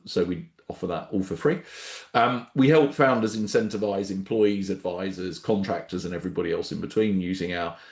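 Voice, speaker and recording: A man with a head cold and a nasal voice, hosting a webinar on a video call in a relaxed, rambling way. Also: sits low, a little fast, creaky, echoey room. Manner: relaxed, rambling, frequent ums and restarts. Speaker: webinar host, startup founder. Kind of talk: casual webinar presentation.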